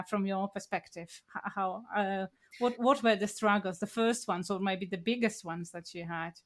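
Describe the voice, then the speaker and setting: Polish woman hosting a podcast, english isn't her first language, lots of uh and repeats, mid pitch. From Poland. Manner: hesitant, many fillers and repeated words. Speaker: podcast host. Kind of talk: casual conversational chat.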